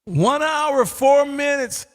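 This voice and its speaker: A man hosting a live ham radio stream, chatting casually and folksy, his voice going hoarse. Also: extremely high pitch, animated, takes it slowly, in a large hall. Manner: laid-back, folksy, says "man" often. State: losing his voice. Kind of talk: casual on-air radio chat.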